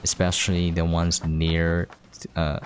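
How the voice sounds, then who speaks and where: A man's voice: sits low, monotone, slow pace. A man giving casual game commentary as a video host, in a laid-back, rambling way. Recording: clear background noise.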